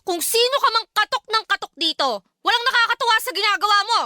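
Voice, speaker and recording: A woman's voice: very high-pitched, fast, sharp tone, no room sound, studio quality, full character voice. An actress with a Filipino accent playing a jumpy, irritable young woman in a radio drama. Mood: annoyed, defiant.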